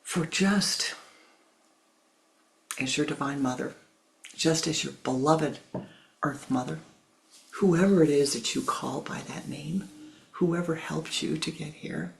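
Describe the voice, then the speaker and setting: Gravelly, gentle spiritual teacher. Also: mid-range voice, takes it slowly, pauses often, low-quality recording. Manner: tender, repetitive, addresses listeners as "my love".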